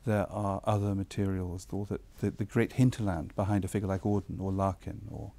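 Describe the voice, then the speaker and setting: A man speaks in a mellow, fairly low voice, reflecting on his work as a publisher. He circles back on his words as he thinks, careful and considered. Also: flat delivery, a little fast. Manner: thoughtful, repeats words while searching. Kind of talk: interview remarks.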